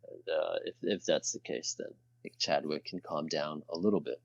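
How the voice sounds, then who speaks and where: Deep male player, roomy muffled recording. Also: steady tone, moderate pace, vocal fry. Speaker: tabletop game player. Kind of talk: casual game table talk. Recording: roomy, dull lo-fi microphone sound.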